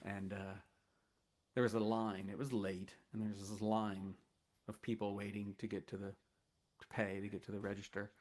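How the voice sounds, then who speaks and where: A man from the United States sits in as a guest and tells stories in a loose, easygoing way. His voice sits fairly low. He meanders through each anecdote with plenty of fillers. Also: flat delivery, moderate pace, darkish tone. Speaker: guest bass player. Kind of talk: casual storytelling chat.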